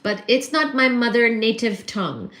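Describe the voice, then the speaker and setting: A woman's voice: fairly high pitch, animated, moderate pace, fairly bright tone, echoey room. A woman from Kolkata with Bengali as her native language and an accent that mixes Indian and American, chatting casually with viewers on a livestream. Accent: mixed Indian and American. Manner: chatty, answers viewers, asides and fillers. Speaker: livestream host. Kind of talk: casual livestream chat reading comments.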